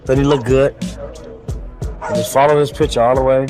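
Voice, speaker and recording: A man's voice: mid-range voice, steady tone, moderate pace. A man speaking casually, as in a testimonial in a radio spot. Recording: loud music bed under voice.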